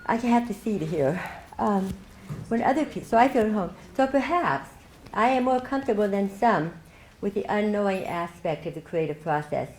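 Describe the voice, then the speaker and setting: An older woman gives a reflective keynote talk, telling her story with light laughter. Her voice sits at a middle pitch and carries the echo of a meeting hall. A faint hum of noise runs underneath. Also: animated, moderate pace, a little mellow. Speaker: keynote speaker. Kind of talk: speech to a club meeting.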